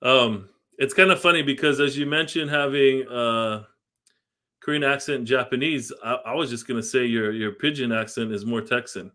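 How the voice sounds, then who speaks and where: Male podcast host, mid-pitched, relaxed reminiscing, laughs along. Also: normal speed. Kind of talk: casual interview chat.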